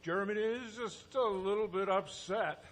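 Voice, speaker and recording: A man's voice: sits high, normal speed, close to an everyday voice. A man playing a hesitant older scientist father in a live comic radio play. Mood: flustered. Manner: hesitant, stammering. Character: flustered elderly father, hesitant scientist doctor. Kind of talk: live comedic audio theatre parody. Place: stage, live performance.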